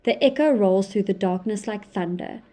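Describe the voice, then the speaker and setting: A woman narrating a scripted audio drama, measured and descriptive. Her voice sits mid-range with a mellow, darker tone. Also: medium pitch.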